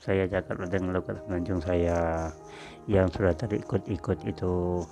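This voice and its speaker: A middle-aged Sabahan man recalls his working years in a deep, low voice. His tone is gravelly with noticeable fry, and he talks in an unhurried way, full of small fillers. Faint music plays underneath. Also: flat delivery. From Sabah, Malaysia. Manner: reflective, unhurried, with frequent fillers. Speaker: former factory worker telling his story. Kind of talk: personal storytelling.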